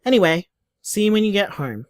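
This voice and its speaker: A man's voice: high voice, sing-song, brisk, no room sound, clean studio sound. A man playing an affectionate, playful young bar owner, chatting casually as if leaving voicemails in an audio drama. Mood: casual, upbeat.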